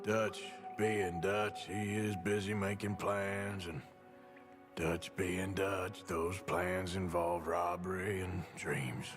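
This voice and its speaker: An actor playing a gruff, sardonic outlaw cowboy with a dry way of talking in a video game cutscene. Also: male voice, sits low, fairly even intonation, slow, music in the background. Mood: weary. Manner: dry, sarcastic.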